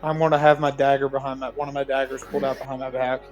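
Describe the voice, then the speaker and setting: A man's voice: medium pitch, flat delivery, rapid-fire, soft background music, poor audio. A man chatting casually as a player in a tabletop roleplaying game, describing what his character does. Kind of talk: casual gaming chat.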